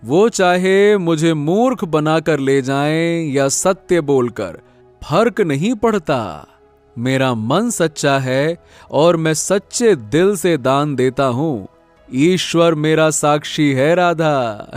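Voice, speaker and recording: Kind, trusting village farmer for a cartoon tale, played by a man; higher register, slow, sincere. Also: expressive, no echo, soft background music. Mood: earnest, assured. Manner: good-natured, earnest. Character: kind, trusting young farmer, generous older brother.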